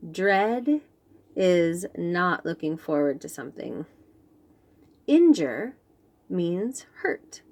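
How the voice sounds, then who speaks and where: Expressive woman reading a picture book. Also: fairly low pitch, very slow, long pauses. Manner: expressive, animated. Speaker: children's book reader. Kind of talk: read-aloud storytelling for young children.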